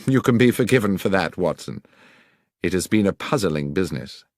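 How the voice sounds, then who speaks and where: A man voicing a brisk, assured detective who explains his deductions precisely and with authority, as audiobook dialogue. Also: low voice, lively intonation, no echo, studio quality. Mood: assured, explanatory.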